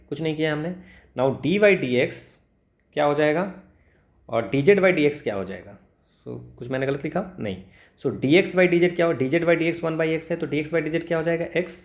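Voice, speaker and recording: An Indian man teaching maths informally, explaining step by step, switching between Hindi and English with frequent check-in tags. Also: mid-range voice. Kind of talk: informal exam lesson, explaining worked equations step by step. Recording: echoey, roomy sound.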